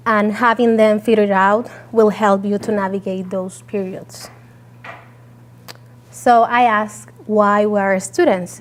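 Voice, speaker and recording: A woman from Puerto Rico, a native Spanish speaker with a Puerto Rican Spanish accent, giving an earnest talk with frequent fillers. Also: mid-range voice. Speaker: guest speaker. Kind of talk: presentation talk.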